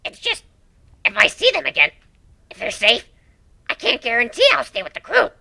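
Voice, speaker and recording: A man's voice: extremely high pitch, wildly swooping pitch, moderate pace, creaky, sharp tone, pitched above the performer's own voice, heavily acted. A man voicing an earnest, anguished dog creature, a former slave turned strategist, in a dramatic story reading. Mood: sad, wistful.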